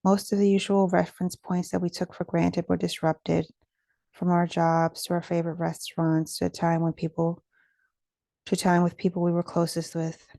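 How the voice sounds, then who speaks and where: A woman leading a guided meditation in a gentle, soothing, measured way, with pauses between phrases. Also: on the low side, level tone, gravelly, no room sound.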